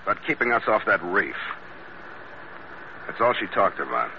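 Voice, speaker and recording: An actor playing a tough, principled American sea captain who also narrates a radio adventure, in a terse hard-boiled style. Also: male voice, sits low, very animated, moderate pace. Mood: grim. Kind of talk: old-time radio adventure drama acting and narration. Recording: noisy, muffled old radio recording.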